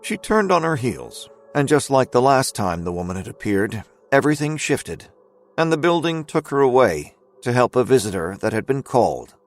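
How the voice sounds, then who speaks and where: A man's voice: medium pitch, big pitch swings, normal speed, lightly acted. A man narrating a scripted audio drama as its storyteller.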